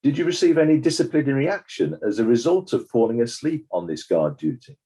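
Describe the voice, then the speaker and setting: Guy acting as a sceptical coroner in a courtroom drama, formal and probing, mellow slightly husky voice. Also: mid-range voice, big pitch swings, a little fast. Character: stern, sceptical coroner questioning witnesses at an inquest.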